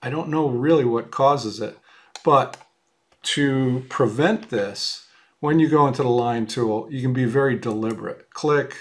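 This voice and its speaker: A man teaching a software class, explaining step by step in a casual, thinking-aloud way with frequent ums and uhs while he demonstrates on screen. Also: medium pitch, unhurried. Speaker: woodworking software instructor. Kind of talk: screen-recorded software tutorial. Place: at a computer, voice-over on screen capture.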